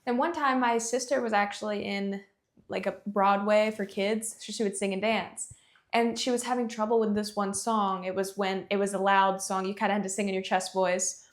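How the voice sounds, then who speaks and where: A woman's voice: mid-range voice, fairly even intonation, moderate pace. Chatty young woman with frequent laughs. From Athens, Georgia. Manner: chatty, laughs often, many fillers like "you know". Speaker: singer-songwriter guest. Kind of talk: casual interview chat.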